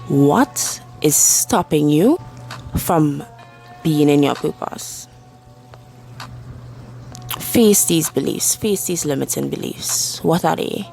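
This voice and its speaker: A woman leading a guided meditation with spoken affirmations, soothing and deliberate, leaving long pauses between short phrases. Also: low voice, lively intonation, gravelly, rough recording. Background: soft background music.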